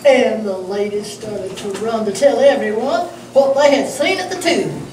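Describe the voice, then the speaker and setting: A chatty village girl puppet tells her story in a high, animated voice, as a woman puts her on. The sound is distant and echoey, picked up across a church hall with clear background noise. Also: normal speed, natural voice. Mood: wondering. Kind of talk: amateur church puppet show. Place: large echoey church sanctuary.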